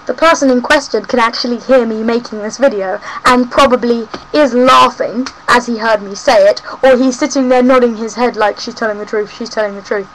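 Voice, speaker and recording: A woman's voice: a little high, steady tone, a little fast, few pauses, a little hiss or hum, rough recording. A young woman from South West London with a London accent, rambling and ranting cheekily in a casual home vlog. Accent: London English. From South West London, England. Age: young adult. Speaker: vlogger. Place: home room.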